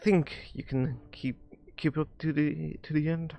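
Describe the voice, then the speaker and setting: Gravelly man reading game dialogue aloud. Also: on the high side, steady tone, warm tone. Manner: halting, stumbles and rereads lines. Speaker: streamer. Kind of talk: live read-aloud of visual novel dialogue. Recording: music behind the voice. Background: music.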